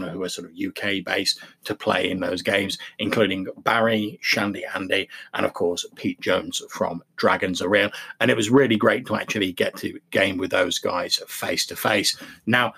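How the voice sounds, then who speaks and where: A man hosting a podcast, talking reflectively in a measured, explanatory and courteous way. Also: lowish voice, creaky, a bit bright, breathy edge. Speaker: podcast host. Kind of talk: reflective solo podcast talk.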